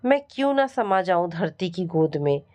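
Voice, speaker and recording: A woman's voice: on the low side, sing-song, normal speed, a little mellow. A woman storyteller voicing a wronged middle-aged wife, anguished, weary and pleading.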